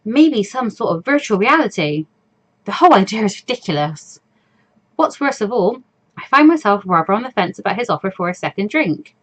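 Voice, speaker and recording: A woman's voice: mid-range voice, very animated, moderate pace. A woman voicing an anxious, introspective fourteen-year-old girl in a visual novel, with a hesitant, musing manner. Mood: troubled, musing. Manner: hesitant, musing inner monologue. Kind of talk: visual novel read-aloud.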